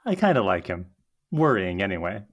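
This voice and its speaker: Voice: sits low, very animated, brisk. A performer reading an eager, earnest young woman who dabbles in witchcraft, chatty, in a script table read. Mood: pointed, caring.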